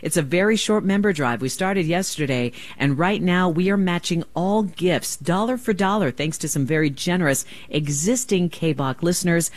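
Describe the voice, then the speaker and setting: A woman's voice: lowish voice, normal speed, hardly any pauses, dry recording. A woman radio host making a chatty, enthusiastic pledge-drive appeal from a studio microphone.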